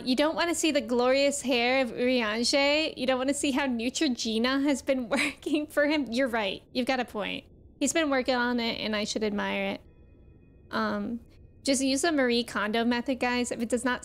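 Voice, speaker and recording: A woman hosting a game livestream, chatting casually and reacting playfully in an informal way. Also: high-pitched. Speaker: livestream host playing a video game.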